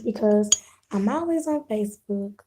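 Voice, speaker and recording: A hoarse woman with a sore throat, hosting a casual live video chat with her viewers, rambling and restarting her sentences. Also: mid-range voice, animated, very noisy. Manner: unhurried, rambling, clears throat, frequent restarts.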